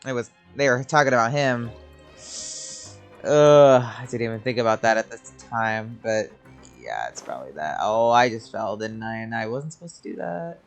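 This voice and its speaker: A woman's voice: rumbling low, animated, normal speed, soft background music. A woman giving casual, informal game playthrough commentary, muttering reactions as a streamer.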